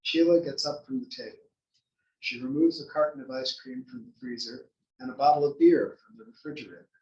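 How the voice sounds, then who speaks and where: A man's voice: a little high, big pitch swings, a little fast, vocal fry, warm tone. Male stage-direction narrator in echoey room. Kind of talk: scripted narration at a table read. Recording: dull, echoey room, distant mic.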